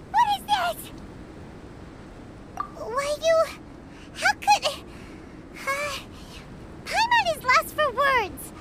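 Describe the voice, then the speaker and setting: A woman voicing a tiny, excitable, blunt fairy girl companion in a video game. The fairy is dramatic and bossy and refers to herself in the third person. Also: very high-pitched, very noisy, heavily acted. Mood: indignant. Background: game music.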